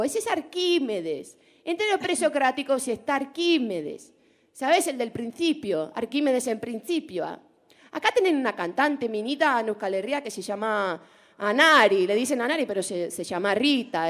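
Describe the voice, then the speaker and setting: Woman voicing a nosy chatty porteña, Rioplatense accent, high and swooping, teasing comic monologue. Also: close to an everyday voice. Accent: Rioplatense Spanish put on. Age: 40s. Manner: chatty, teasing, drawn-out vowels.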